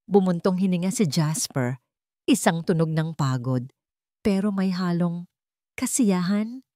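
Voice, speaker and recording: A woman's voice: fairly low pitch, mellow, dry recording, studio quality. A woman reading a scripted dramatic story as a storyteller.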